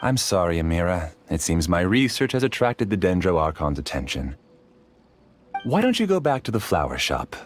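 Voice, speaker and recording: Polite calculating scientist in a video game, low voice that moves around a lot, very formal. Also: male voice, big pitch swings, normal speed, faint music behind. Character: courteous, calculating male researcher, master of a dream.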